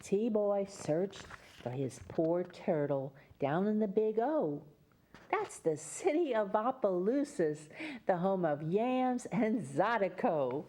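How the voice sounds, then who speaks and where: A woman reading a picture book aloud as a Mother Goose storyteller, pausing for chatty explanations to young listeners. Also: on the low side, mellow. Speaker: children's storyteller and host.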